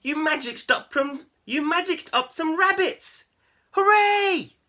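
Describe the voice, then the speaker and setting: Woman doing loud, squealing, excitable storybook cats. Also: sits high, slow, pitched above the performer's own voice, full character voice. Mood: amazed, delighted. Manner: squealing, chanting, exclamatory. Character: crowd of excitable, teasing, loud cartoonish cats. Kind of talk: animated storybook read-aloud.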